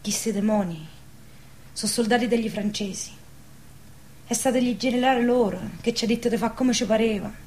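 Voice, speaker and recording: A woman's voice: a little high, fairly quick, pauses often, a little mellow, a little hiss or hum, natural voice, poor audio. An actress playing a traumatised young village woman in a radio drama, ashamed and bitter, plaintive, with a southern Lazio dialect. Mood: grim, bitter. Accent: southern Lazio Italian dialect.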